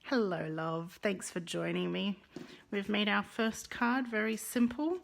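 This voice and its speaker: A woman's voice: mid-range voice, a little slow, vocal fry. Chatty woman with a Russian accent. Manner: chatty, greets viewers, rolls her R's. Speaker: card-making teacher. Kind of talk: live-streamed craft lesson.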